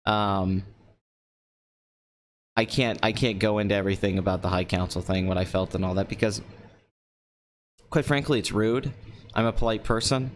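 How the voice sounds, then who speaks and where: A man in his twenties from Pennsylvania, hosting a live stream alone in an empty apartment and talking low and calm into a microphone with a flat, deadpan delivery. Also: moderate pace, long pauses, a little hiss or hum. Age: 20s. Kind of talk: casual solo live-stream talk. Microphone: desk microphone.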